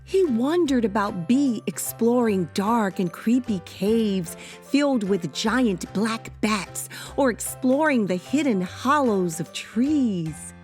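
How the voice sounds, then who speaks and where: A woman reading a children's picture book aloud in an expressive storytelling style. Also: fairly high pitch, slow pace, few pauses. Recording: children's music under the voice. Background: children's music.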